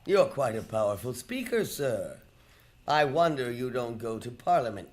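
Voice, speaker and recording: A bitter old miser of a boss, speaking in a deep female register with big swings in pitch. The delivery is curt, biting and scornful, in the manner of live radio drama. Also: very animated, moderate pace, darkish tone. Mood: cold, scornful.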